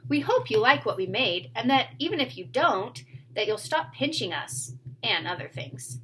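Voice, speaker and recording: A woman's voice: fairly high pitch, sing-song, moderate pace, a bit bright. A woman voicing an earnest, polite young boy in a children's storybook.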